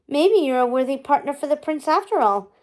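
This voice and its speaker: A woman voicing a calm, fair-minded fairy in a storybook, with a measured, approving manner. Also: high voice.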